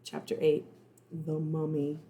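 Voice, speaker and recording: A woman, a first grade teacher, narrating a children's storybook in an expressive, suspenseful, dramatic way. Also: low voice. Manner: suspenseful, dramatic, pauses to explain words.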